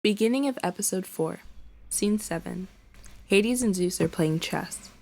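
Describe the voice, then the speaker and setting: A woman's voice: mid-range voice, unhurried, creaky, a little mellow, poor audio. A woman giving scripted narration of scene headings and stage directions for a radio play.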